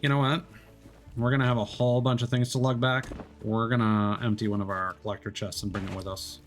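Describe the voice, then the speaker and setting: A man's voice: medium pitch, flat delivery, a little fast, faint music behind. Man musing over a game. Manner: chatty, musing aloud, mild complaining. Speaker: streamer playing solo. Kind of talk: casual gameplay commentary.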